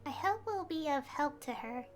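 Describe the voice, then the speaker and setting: Female game streamer, quite high register, live read-aloud and commentary, light music underneath. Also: expressive, moderate pace. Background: faint game music.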